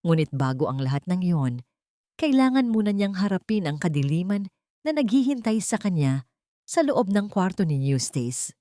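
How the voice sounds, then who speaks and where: A woman's voice: lowish voice, very animated, normal speed, mellow, dry recording, studio quality. A woman with a Filipino accent narrating a dramatic story from a script.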